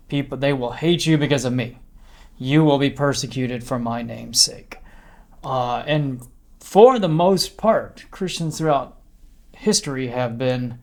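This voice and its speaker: Man thinking aloud, haltingly. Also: mid-range voice. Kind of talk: reflective podcast conversation.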